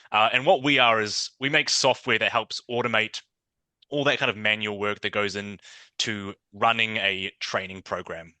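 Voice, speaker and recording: A man's voice: on the low side, pushed and bright, dry recording. A man with a New Zealand accent, answering questions as a guest on an interview podcast in a thoughtful, conversational way. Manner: thoughtful, conversational, frequent fillers and restarts.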